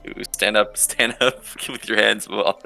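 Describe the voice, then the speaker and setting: A man's voice: lowish voice, fairly even intonation, a little slow, gravelly, pushed and bright, soft background music. A man chatting casually as a player in a tabletop roleplaying game.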